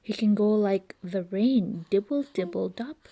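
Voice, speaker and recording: A woman with a soft-edged, mellow tone reads a children's book aloud at a slow, measured pace. Her pitch sits in an average range for a woman, and she delivers the words expressively, playing with sound effects as a storyteller for little ones. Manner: expressive, with playful imitations of noises.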